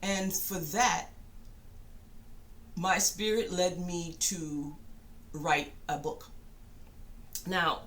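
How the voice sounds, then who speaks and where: A middle-aged woman giving a reflective personal monologue in a thoughtful, halting way, often pausing and rephrasing. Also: on the low side. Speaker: spiritual channel host and self-published author.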